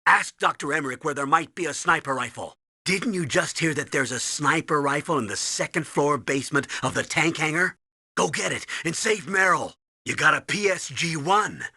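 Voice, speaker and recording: A man playing a gruff, urgent military commanding officer briefing his agent in a video game, with a commanding, instructive delivery. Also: a little high, normal speed, sharp tone, no room sound, studio quality, full character voice. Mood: alarmed, urgent.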